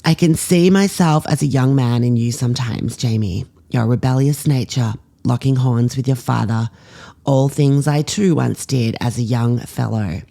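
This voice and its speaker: Casting: a woman voicing a fond old grandfather, low and gravelly, reading an affectionate letter in old-fashioned style. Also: normal speed, dark tone, heavily acted. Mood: nostalgic, proud. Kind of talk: letter read aloud.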